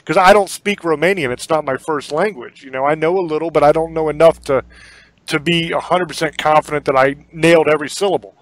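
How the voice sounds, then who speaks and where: A man's voice: on the high side, fairly quick, no room sound, poor audio. A man hosting a podcast, talking in a casual, earnest, rambling way, often repeating words as he goes. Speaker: podcast host and horror author. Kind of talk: casual sign-off talk.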